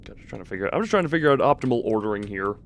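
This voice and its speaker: A man's voice: on the low side, expressive, racing, slight background noise. A man giving casual gaming commentary, thinking aloud in a wry way and muttering numbers and plans to himself as he streams. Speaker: game streamer.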